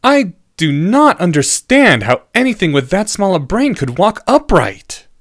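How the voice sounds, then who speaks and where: A precocious schoolboy, acted by a male narrator in an audiobook. The voice is lifted well up in pitch, earnest and articulate, with a bold, questioning way of speaking. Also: very animated, measured pace, a bit bright, dry recording, clean studio sound, heavily acted. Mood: probing, exasperated.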